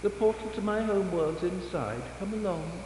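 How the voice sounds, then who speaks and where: A man voicing a falsely friendly winged fairy creature in a storybook horror tale, with drawn-out, beckoning, theatrical delivery. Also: high-pitched, warm tone, a little hiss or hum, voice pushed up, close to an everyday voice, low-quality recording. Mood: luring, beckoning.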